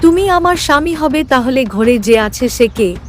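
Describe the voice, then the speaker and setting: Firm, puzzled young wife for cartoon, female actor, distinctly high pitch, emphatic, over music. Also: expressive, moderate pace. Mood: alarmed suspicion. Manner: questioning, emphatic. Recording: music under the voice.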